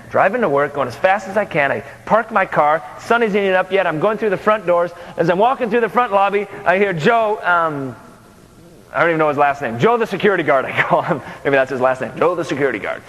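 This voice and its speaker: Man as harried businessman, high-pitched, echoing hall. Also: animated, brisk, a bit bright. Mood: harried, wry. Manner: conversational, wry. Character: overworked, driven, exasperated businessman narrating his day. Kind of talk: first-person storytelling from a stage. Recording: echoey hall with long reverb.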